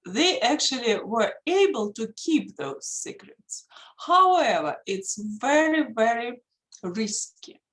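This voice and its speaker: Lively, slow-paced woman with a Russian accent. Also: on the high side, rough recording. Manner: earnest, emphatic, pauses with many fillers. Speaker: patent attorney, guest speaker. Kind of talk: online presentation. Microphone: video call.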